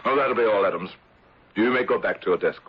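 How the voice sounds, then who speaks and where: Male actor as formal English office chief, radio drama. Very deep, measured, watchful; muffled vintage broadcast sound. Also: very noisy, lightly acted. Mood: curious, suspicious. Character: Edgar Sampson, shrewd, wary English insurance manager. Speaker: actor narrating and playing the lead. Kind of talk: 1970s radio drama acting. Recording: dull old radio recording with music.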